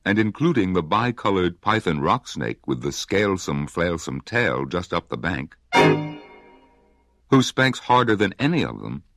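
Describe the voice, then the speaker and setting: A man's voice: deep voice, big pitch swings, measured pace, over loud music, heavily acted. A man voicing a polite, eager young elephant calf in a storybook reading. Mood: polite pleading.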